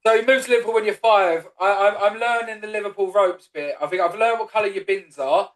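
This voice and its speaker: Cockney podcast host, high voice, loose and sweary, brotherly chatter. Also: male voice, brisk, fairly bright tone. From East London. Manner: swears freely, calls guest brother and man. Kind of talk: casual interview chat.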